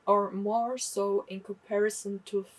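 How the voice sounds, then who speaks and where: A young woman reviews books in a slow, unhurried way. Her voice is a little husky. She talks candidly and often stops to correct herself. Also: mid-range voice, level tone, far from the mic, rough recording. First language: German. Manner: chatty, candid, self-correcting. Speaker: book vlogger. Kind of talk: casual book review.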